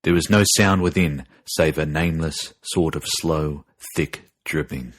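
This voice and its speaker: A man with a deep voice narrates a horror tale. His delivery is dramatic, deliberate and grave, full of foreboding. The sound is close and dry, like a studio audiobook. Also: no room sound. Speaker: narrator and podcast host.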